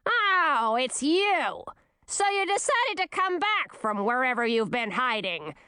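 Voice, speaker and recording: A woman voicing a shrill, scornful old queen with a screechy, contemptuous way of scolding. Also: very animated, slow pace, pushed and bright, clean tone, no echo, heavily acted.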